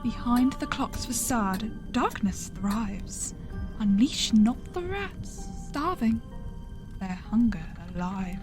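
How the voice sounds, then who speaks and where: Female actor as solemn fantasy mystic woman, higher pitch, incantatory phrasing, horror role-play, prominent music bed. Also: lively intonation, slow. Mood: eerie incanting. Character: eerie mystic woman adventurer, solemn, gentle with animals. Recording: loud music behind the voice.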